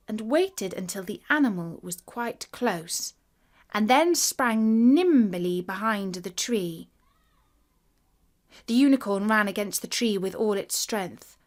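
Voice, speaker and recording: A woman reads a children's fairy tale as a storyteller. Her mid-range voice moves slowly and deliberately, with bedtime expressiveness. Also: mid-range voice.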